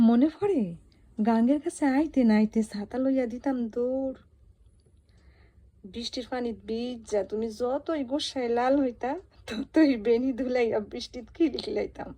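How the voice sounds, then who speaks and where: Female poetry reciter, rural eastern Bangladeshi dialect. Very mellow, higher-pitched, husky voice, dramatic and pleading, close and tender in delivery. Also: normal speed. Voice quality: soft, breathy, husky. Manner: wistful, intimate, pleading delivery. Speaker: poet reciting own poem.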